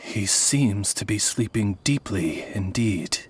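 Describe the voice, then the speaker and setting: A man's voice: lowish voice, expressive, slow, a little hiss or hum. A man voicing a courtly, loyal raven familiar in a video game, formal, deferential and wise. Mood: composed, courteous.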